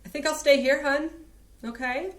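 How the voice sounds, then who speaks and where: A woman's voice: sits high, normal speed, fairly bright tone. A woman reading the part of a disdainful woman in a staged play reading, dry and pointed. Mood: cool. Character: cool, disdainful woman guarding her friend. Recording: roomy stage sound.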